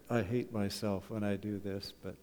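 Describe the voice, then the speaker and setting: A man's voice: fairly low pitch, darkish tone. A middle-aged or older man gives a humorous, conversational talk to a church congregation, often posing rhetorical questions. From Canada. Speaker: wilderness school principal giving a presentation at church. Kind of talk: folksy public talk with slides. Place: church hall, talking to a congregation. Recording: echoey hall with long reverb.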